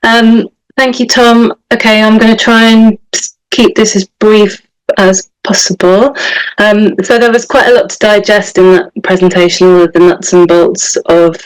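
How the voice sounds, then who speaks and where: A woman catchment advisor presenting in an online webinar over a video call, measured in delivery. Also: mid-range voice, little pitch movement, clear, resonant tone, low-quality recording.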